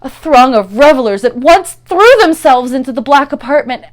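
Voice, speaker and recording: A woman giving a dramatic, theatrical narration of a gothic horror story, moving between hushed and intense delivery. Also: high-pitched, lively intonation, normal speed. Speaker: actor and performer reading a story.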